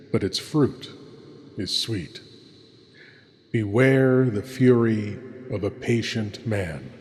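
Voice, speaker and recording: A man playing a sinister, courtly horror host, narrating theatrically with dramatic pauses and hushed menace. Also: on the low side. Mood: ominous, wry. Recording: long hall-like reverb.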